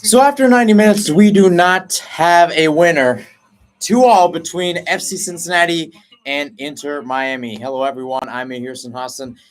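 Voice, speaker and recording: A man giving live football play-by-play commentary, weighing tactics and substitutions at length in an energetic, analytical way, his voice just recovered after losing it. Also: fairly high pitch, normal speed. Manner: energetic, analytical, breaks between halves.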